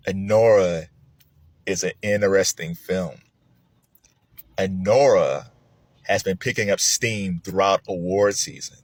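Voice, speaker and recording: A man casually sharing his film awards predictions, with an animated manner, laughing often and swinging from excited highs to low mutters. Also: no echo. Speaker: film commentator. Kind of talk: casual spoken commentary.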